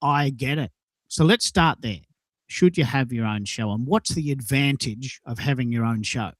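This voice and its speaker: A man's voice: medium pitch, normal speed, dry recording. A man in his sixties hosting a conversational round-robin talk show, putting questions to guests. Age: 60s. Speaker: show host.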